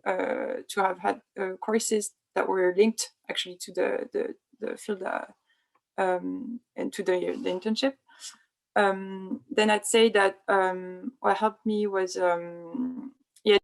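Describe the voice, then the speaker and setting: A young woman researcher speaking on an online panel, halting and full of fillers. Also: medium pitch, creaky, darkish tone, poor audio. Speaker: think tank researcher.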